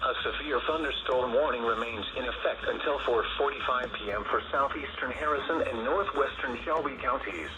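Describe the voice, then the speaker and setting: A male automated voice reading severe weather warnings in a flat, formal, evenly paced delivery, heard through a radio receiver. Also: mid-range voice, normal speed, hardly any pauses. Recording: dull radio broadcast with static.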